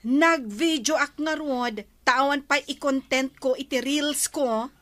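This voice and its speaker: Woman as flippant young wife, Ilocano accent, very high pitch, lazy attitude, drama acting. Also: slow pace, a bit bright, no room sound, clean studio sound. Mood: whiny, defensive. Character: lazy, flippant young wife obsessed with social media reels.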